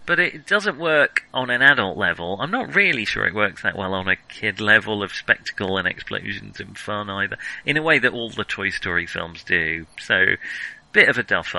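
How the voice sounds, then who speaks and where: Bright-voiced, animated man with creak. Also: on the low side, no echo, poor audio. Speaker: podcast co-host. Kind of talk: casual film discussion.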